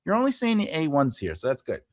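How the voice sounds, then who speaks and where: A man's voice: fairly high pitch, huge pitch swings, fast, no room sound, studio quality. A man with a Long Island accent hosting a podcast, chatting playfully and animatedly with his guest. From Long Island, New York. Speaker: podcast host. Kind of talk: casual conversation.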